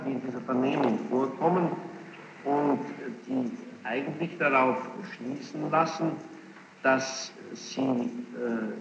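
A man questioning a witness as a judge in court, formal and insistent, halting and repeating himself as he builds each question. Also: mid-range voice, gravelly. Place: large echoing courtroom. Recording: noisy muffled archival courtroom tape, echoing hall.

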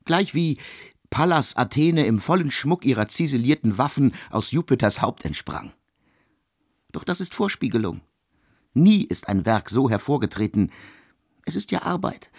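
Aloof intellectual composer, dry studio sound. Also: male voice, medium pitch, big pitch swings, measured pace, breathy edge, dry recording, heavily acted. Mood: scornful, intense. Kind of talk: literary radio drama monologue.

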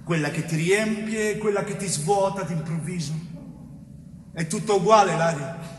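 A man's voice: high voice, far from the mic, lightly acted. Actor as anguished innocent man in echoey hall. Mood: anguished, reflective. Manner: poetic, repetitive, drawn-out phrases. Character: anguished innocent man recalling his stolen youth. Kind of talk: theatrical monologue. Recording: echoey hall stage recording, faint noise.

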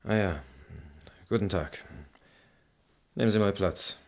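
Radio drama role: brusque, well-meaning policeman, lowish gravelly voice, probing and paternal. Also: slow, a bit bright. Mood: official, probing. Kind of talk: German radio play acting, official interview dialogue.

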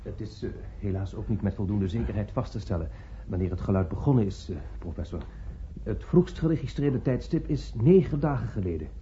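Sharp-toned, deferential scientific assistant played by a man. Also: lowish voice, animated, brisk, close to an everyday voice. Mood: apologetic. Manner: apologetic, careful, formal. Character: hesitant, deferential male scientific assistant reporting to superior. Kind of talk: radio drama dialogue. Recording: muffled, slightly noisy old radio recording.

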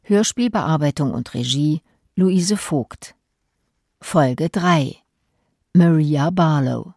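A woman's voice: sits low, takes it slowly, dark tone, husky, no echo. A woman reading a scripted title announcement for a radio play as its announcer.

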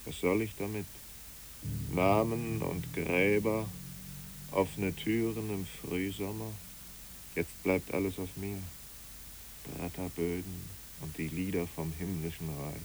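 Brooding, gravelly low-voiced man. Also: level tone, slow pace. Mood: brooding, mournful. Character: brooding, grieving male friend, haunted by memories. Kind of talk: poetic German radio drama acting. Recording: clear tape hiss.

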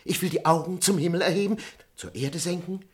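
An actor playing a pious, talkative manservant who argues earnestly like a would-be scholar in a German radio comedy. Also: male voice, high-pitched, fairly quick, close to an everyday voice. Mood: excitedly arguing. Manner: earnest, argumentative, pseudo-learned rambling. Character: talkative, pious male servant disguised in doctor's robe.

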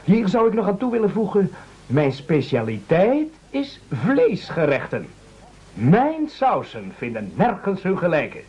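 Dull radio play sound, self-righteous cook, falsely modest, raspy, very varied pitch, pompous, declamatory. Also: male voice, wildly swooping pitch, a little slow, a little mellow, exaggerated voice. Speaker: radio drama actor. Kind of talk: theatrical satirical radio play acting. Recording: dull old radio recording.

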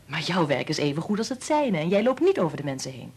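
An actress playing a warm, frank wife and mother in a Dutch radio play, talking in a soothing, confiding way. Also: deep voice, very animated, quick pace, husky, exaggerated voice. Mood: soothing, affectionate.

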